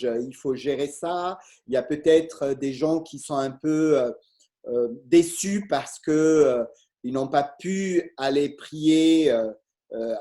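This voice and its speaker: Host asking questions real slow, dark mellow voice, tons of euh. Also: medium pitch. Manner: halting, full of fillers. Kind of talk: moderating a panel discussion.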